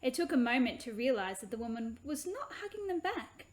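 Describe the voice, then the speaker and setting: A woman reading her own children's book aloud in an expressive, animated way. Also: on the high side, brisk.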